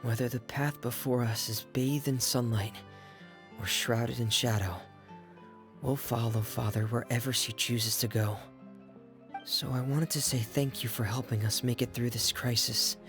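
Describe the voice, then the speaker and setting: An actor playing an earnest, somber young man in a video game, speaking in a measured, solemn way over music. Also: medium pitch, fairly even intonation, natural voice. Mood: resolute, grateful. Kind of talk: English video game voice acting.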